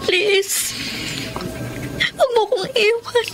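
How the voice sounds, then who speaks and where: A woman's voice: very high voice, full character voice. An actress playing a heartbroken young woman in a radio romance drama, with a tearful, pleading delivery. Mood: desperate pleading. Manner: tearful, pleading, emotionally drawn-out. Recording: background music under the voice.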